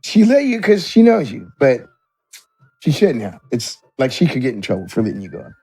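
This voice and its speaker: A man's voice: mid-range voice, huge pitch swings, a little fast, mellow, slightly rough, soft background music, rough recording. A man running a tabletop game as game master, narrating in a conversational, descriptive way.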